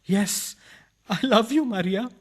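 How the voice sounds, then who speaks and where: A man's voice: high-pitched, takes it slowly. A man acting a harried, exasperated burglar and henpecked husband in a farcical radio play, pleading melodramatically. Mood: reluctantly affectionate.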